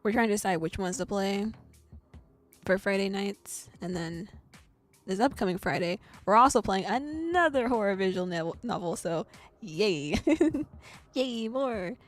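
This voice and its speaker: A bubbly young woman chats casually to her stream, giggling and rambling between thoughts. Her voice sits at an ordinary middle pitch, close to a desk mic. Faint game music plays underneath. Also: expressive, measured pace. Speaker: VTuber streamer.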